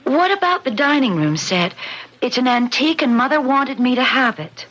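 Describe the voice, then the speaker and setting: Husky-voiced, composed radio-drama daughter. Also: female voice, sits high, expressive, moderate pace, a bit bright. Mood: quietly defiant. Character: married grown daughter, composed, standing up to father. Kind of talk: old-time radio drama acting. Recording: dull old radio drama recording.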